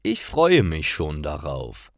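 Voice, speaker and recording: A man reading scripted stories aloud for language learners in a careful, deliberate manner. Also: rumbling low, wildly swooping pitch, creaky, no echo, studio quality.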